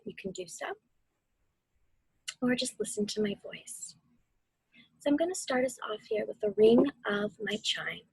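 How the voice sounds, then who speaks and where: A soft, breathy-voiced woman speaking gently and without hurry, as a mindfulness guide reading and instructing for children. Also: a little high, dry recording, low-quality recording. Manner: soothing, unhurried, softly spoken. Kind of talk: gentle guided meditation and read-aloud.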